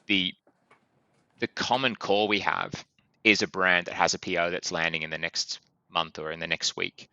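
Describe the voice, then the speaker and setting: Deep-voiced Australian man, bright and dry. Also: steady tone, no room sound, highs cut off. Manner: brisk, businesslike explaining with many fillers. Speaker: guest from a funding company. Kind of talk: podcast interview.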